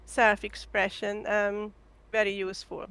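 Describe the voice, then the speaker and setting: A woman's voice: mid-range voice, flat delivery, measured pace, gravelly, a bit bright, smooth. A woman from Hungary talking as a guest in a casual chat, hesitant, with many ums and restarts. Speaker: guest artist.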